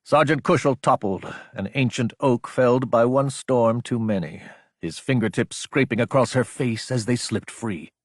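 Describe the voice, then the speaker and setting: A man's voice: mid-range voice, normal speed, no room sound. Male audiobook narrator, dry close sound. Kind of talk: dramatic scripted narration of action fiction.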